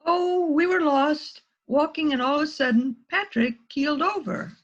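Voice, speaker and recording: Innocent-seeming little suspect woman acting, high voice, comic literalness, dull online audio. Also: moderate pace, lightly acted. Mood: innocent, explaining. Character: small, guileless-sounding female murder suspect spinning an alibi. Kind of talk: amateur readers theater mystery.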